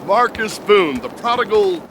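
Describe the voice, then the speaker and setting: A man's voice: high voice, lively intonation, fairly bright tone, slight background noise. An actor playing a menacing, sardonic crime-boss father in a video game, drawing out his words with mock politeness. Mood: smug menace. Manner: drawn-out, mocking, mock-polite delivery. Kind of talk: video game cutscene acting.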